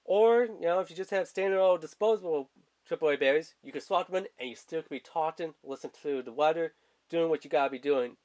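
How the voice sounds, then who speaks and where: Male reviewer, somewhat higher register, colloquial and loose, casual home product-video delivery. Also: fast talker, a little mellow. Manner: rambling, informal, colloquial. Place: indoors at a tabletop.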